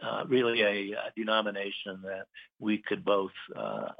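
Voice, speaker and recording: Low-voiced man on a phone line. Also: vocal fry. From Puerto Rico. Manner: hesitant, many pauses and fillers. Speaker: guest, business owner. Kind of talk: casual interview chat.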